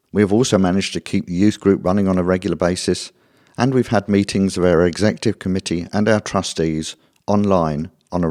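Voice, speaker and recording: A man's voice: low-pitched, a little mellow, no echo, studio quality. A man reading out a prepared, formal statement as an organisation's official. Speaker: theatre official. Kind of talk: scripted statement read aloud.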